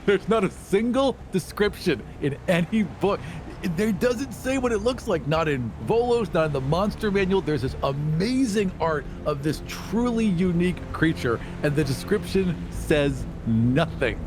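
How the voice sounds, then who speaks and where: Higher-voiced joking man with background noise. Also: animated. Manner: joking, quick to laugh. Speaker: podcast co-host. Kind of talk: casual banter. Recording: clear vehicle-like background noise.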